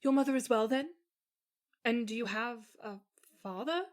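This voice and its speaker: Actress as sweet devoted young wife in audio drama, soft reassuring way, voice a bit high. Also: female voice, normal speed, lightly acted. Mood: concerned. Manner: tentative, soft-spoken reassurance. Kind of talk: amateur audio fiction acting.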